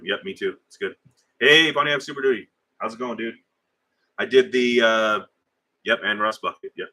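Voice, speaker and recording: A middle-aged man with a deep, low-pitched voice that still sounds bright and forceful. He talks in a loose, rambling way, stopping for fillers and restarts as he chats with viewers on a live stream. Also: husky. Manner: rambling, conversational, hesitant with fillers. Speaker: livestream host. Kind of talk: casual live chat reading viewer comments.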